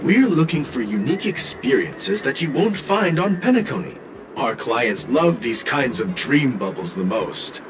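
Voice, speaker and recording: Eager young tourist, wildly animated pitch. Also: male voice, unhurried, background noise. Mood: enthusiastic. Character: eager, friendly young male tourist merchant, enthusiastic and easygoing. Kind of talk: anime-style video game voice acting.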